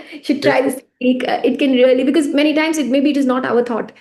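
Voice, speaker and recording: A woman who teaches pranic healing, answering interview questions in a casual, lively way, laughing often and letting her phrases fade softly at the end. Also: sits high, fast talker, slight background noise.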